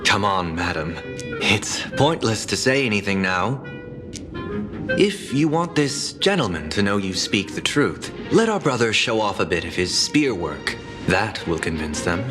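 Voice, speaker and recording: An actor playing a smooth, coaxing man in a game. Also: fairly low pitch, animated, a little slow. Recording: game audio with music. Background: game music.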